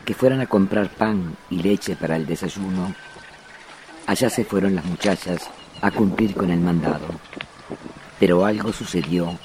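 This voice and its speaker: A man narrating a documentary in a measured, solemn scripted delivery. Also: low voice, a little mellow, slight background noise, poor audio.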